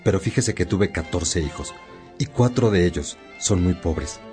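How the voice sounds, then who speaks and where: A man's voice: sits low, lively intonation, unhurried, darkish tone. A man acting as a humble, worried father of many children in a radio drama, pleading respectfully. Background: music.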